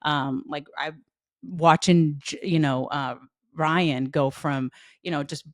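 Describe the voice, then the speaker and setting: A woman's voice: low-pitched, steady tone, slow pace, dry recording. Chatty woman hosting a casual podcast, dry close mic. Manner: chatty, many fillers. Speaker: podcast host. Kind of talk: casual chat.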